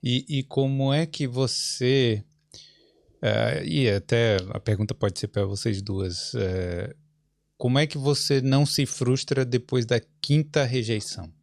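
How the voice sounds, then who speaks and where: A man hosting a podcast interview, asking measured, prompting questions. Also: mid-range voice, vocal fry, no room sound. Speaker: podcast host.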